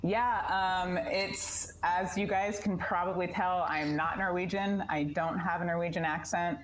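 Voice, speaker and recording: A woman's voice: lowish voice, steady tone, a little slow, slight background noise, rough recording. A woman answering interview questions casually, enthusiastic but hesitant, as a voice director and actor.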